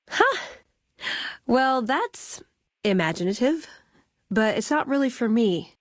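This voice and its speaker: A woman's voice: medium pitch, a little mellow, no room sound, clean studio sound, natural voice. A woman voicing a wry, idealistic young scavenger in a video game, speaking in a sardonic, conversational way. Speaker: video game companion character. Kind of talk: naturalistic game dialogue acting.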